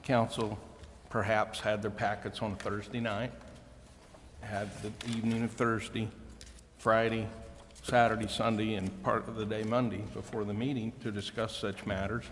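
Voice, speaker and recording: A man with a lowish voice makes remarks at a public meeting as a council member. His delivery is halting and deliberate, with long room reverb and faint noise around it. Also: level tone. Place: indoor council chambers.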